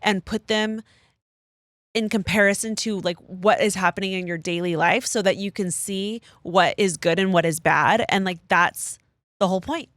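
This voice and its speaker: Podcast co-host, woman, 30s, filler-heavy storyteller, mid pitch, dry. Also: normal speed, fairly bright tone, no room sound. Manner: chatty storyteller, many fillers. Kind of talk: casual chat.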